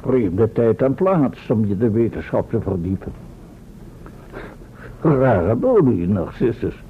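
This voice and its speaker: A man's voice: lowish voice, big pitch swings, heavily acted. A male actor playing an eager, inquisitive professor who bosses his companions in a radio play, on a tinny, noisy recording. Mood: brisk, commanding. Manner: brisk, commanding, inquisitive.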